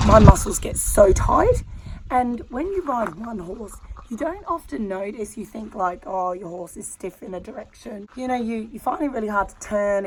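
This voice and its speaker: A woman's voice: mid-range voice, a little hiss or hum, rough recording. An Australian woman who has lost most of her voice, talking candidly and from the heart while sitting on the ground out in a horse paddock. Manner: candid and heartfelt, with many fillers. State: hoarse, losing her voice. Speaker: horse rider and vlogger. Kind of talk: casual vlog talk to camera.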